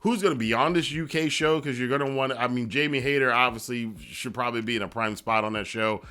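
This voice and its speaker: A man with a medium-pitched voice hosts a wrestling review. He talks in a casual, conversational way, wandering into asides and repeating phrases for emphasis. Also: quick pace, bright, forceful tone, clear, resonant tone.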